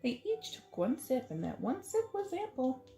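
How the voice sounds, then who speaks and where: A woman reads a rhyming children's story with sing-song drama. Her voice is fairly high and mellow, with creaky edges, in an echoey room with soft music behind. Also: huge pitch swings, normal speed. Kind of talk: expressive picture-book read-aloud in rhyme. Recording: roomy echo, faint music.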